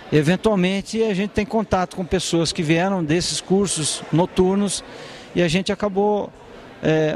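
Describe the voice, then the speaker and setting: A man in his forties, an engineering student who runs his own business, speaking in a measured, hesitant way into a handheld mic in a university corridor. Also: high voice, darkish tone. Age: 40s. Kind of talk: documentary interview.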